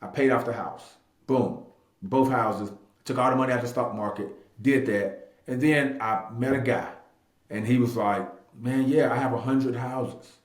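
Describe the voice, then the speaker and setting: A man's voice: mid-range voice, unhurried. A man of about thirty telling his story casually in an interview, emphatic, repeating phrases and acting out bits of dialogue. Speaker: real estate agent and investor being interviewed. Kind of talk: animated personal storytelling in casual talk. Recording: echoey room.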